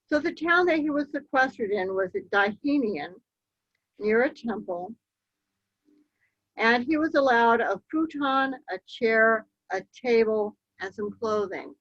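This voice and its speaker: A woman giving a slide-show history talk, telling the story in a measured way with occasional ums. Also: on the high side, expressive, dry recording. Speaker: local history speaker. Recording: dull, lo-fi dry recording.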